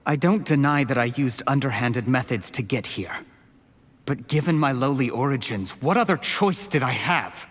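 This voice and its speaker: An actor plays an ambitious young man backed into a corner in a video game. He sounds earnest and strained as he justifies himself, in a medium-pitched voice with long reverb. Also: expressive, moderate pace, a little hiss or hum, close to an everyday voice. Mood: pleading, defensive. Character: ambitious, cornered young male schemer of lowly origins. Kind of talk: scripted game voice acting.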